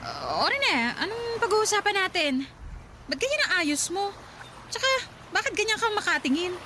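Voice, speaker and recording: A woman's voice: very high-pitched, big pitch swings, normal speed, background noise. A woman in a radio drama playing a defiant, touchy teenage girl with a whiny, defensive and spiteful manner. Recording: music behind the voice.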